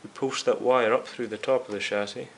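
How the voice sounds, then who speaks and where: A man's voice: mid-range voice, steady tone, fairly quick, a little hiss or hum. A man hosting a hands-on repair video, talking casually and explaining each step directly to his viewers. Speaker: host of a radio restoration series.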